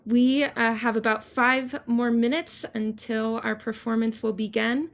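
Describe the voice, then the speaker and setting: A woman with a fairly high voice speaks as a festival host on a live stream. She gives an informal welcome, addressing the viewers directly as she offers thanks and announcements. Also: steady tone, a little slow.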